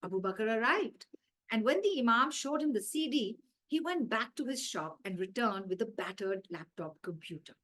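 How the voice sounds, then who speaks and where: A woman's voice: a little high, sing-song, moderate pace, fairly bright tone. A woman with an Indian accent reading a children's story aloud expressively, as its author.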